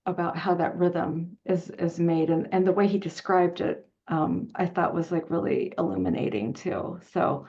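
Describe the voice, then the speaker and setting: A woman's voice: medium pitch, a little mellow, highs cut off. A woman hosting a panel chat, effusive and enthusiastic, feeling her way through her phrasing. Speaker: panel host.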